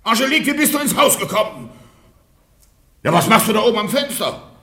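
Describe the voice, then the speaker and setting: An actor playing a jealous peasant husband in a radio comedy, theatrical, mocking and blustering with exaggerated politeness. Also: male voice, sits high, big pitch swings, brisk, sharp tone, exaggerated voice. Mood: outraged.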